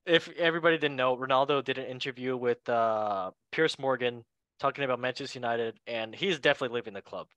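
A man hosting a casual sports chat show in a jokey, excitable way, speaking English as a second language. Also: medium pitch, fast talker, smooth, dry recording. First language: not English. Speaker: show host.

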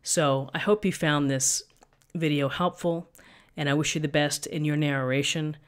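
Middle-aged woman, thin voice, calm step-by-step explaining, desk mic, tutorial host. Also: low voice, moderate pace, smooth. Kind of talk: screen-recorded software tutorial. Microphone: USB desk microphone.

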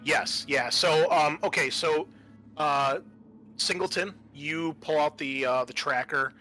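A man working as game master, improvising narration for a tabletop roleplaying game in a halting, thinking-aloud way. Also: fairly high pitch, pushed and bright, soft background music.

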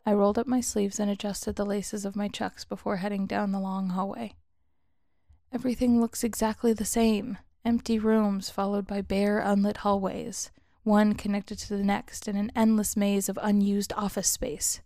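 A woman's voice: medium pitch, level tone, normal speed, warm tone, no echo, clean studio sound. A woman storyteller reading a scripted first-person story as narration. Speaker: storyteller, author.

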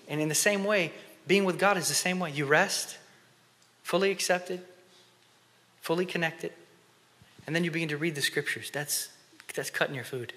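A man in his thirties preaching on a church stage, swinging between loud emphasis and hushed, whispered prayer. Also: a little high. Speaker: conference speaker. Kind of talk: sermon. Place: church stage before an audience. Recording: long reverb of a church hall.

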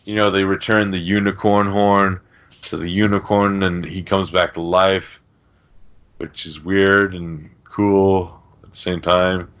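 Deep voiced guy rambling about movies on a podcast, swears a lot, kinda muffled recording. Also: little pitch movement, a little slow, a bit bright, smooth. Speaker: podcast co-host. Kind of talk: casual film discussion. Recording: dull, lo-fi podcast audio.

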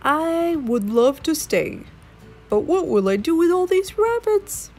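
A woman's voice: very high-pitched, sing-song, slow pace, a little mellow, soft background music, pitched above the performer's own voice, exaggerated voice. A woman voicing an exasperated, pleading male stage magician in a children's storybook, exclaiming emphatically. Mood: wistful, worried. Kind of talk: children's storybook character reading.

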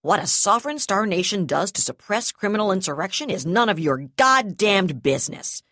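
A seething female brigadier , as a woman reads her, barks and sneers in a harsh, hard-edged voice. She swears freely and pushes her voice up loud and high with rage. The audiobook recording is dry and close. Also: very animated, measured pace, breathy edge, no room sound, exaggerated voice. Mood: furious. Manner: shouting, snarling, swearing.

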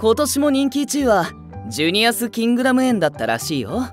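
A man's voice: very high voice, wildly swooping pitch, fast, faint music behind, exaggerated voice. An actor playing a chatty young male nursery teacher in an audio drama, with a playful, teasing manner. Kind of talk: Japanese audio drama acting.

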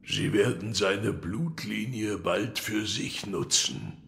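Slow, gravelly old hermit mentor. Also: male voice, lowish voice, fairly bright tone, a little hiss or hum, full character voice. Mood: grave, instructive. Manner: slow, brooding, cryptic. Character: old wood-carving sculptor, gruff cryptic mentor. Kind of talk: audio drama acting of a video game.

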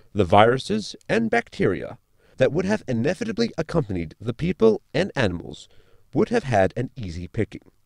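A pedantic know-it-all crewman from a sci-fi radio comedy, played by a man. He lectures in formal, wordy sentences with swooping intonation and a creaky edge, in very dry sound. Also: mid-range voice, darkish tone, no echo, heavily acted. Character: erudite, pedantic, deadpan male crew member. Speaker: actor in audio drama. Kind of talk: science fiction sitcom audio drama acting.

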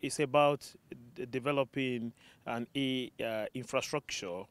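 Middle-aged man, Nigerian accent; measured researcher, steady mid pitch, handheld mic outdoors. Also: clean tone. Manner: measured, explanatory, many ums. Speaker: researcher guest. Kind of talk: interview answer. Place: sunny outdoor campus.

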